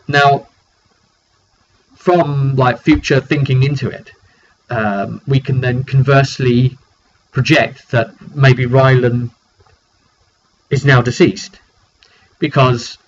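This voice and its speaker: A man informally recapping a tabletop roleplaying session as game master, thinking aloud and repeating words as he goes. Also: mid-range voice. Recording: dull recording with faint background noise.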